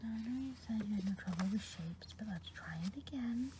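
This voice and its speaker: A woman voicing a kindly good witch in an ASMR roleplay, whispering softly with patient, encouraging praise. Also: mid-range voice, brisk, background noise, natural voice. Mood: gentle.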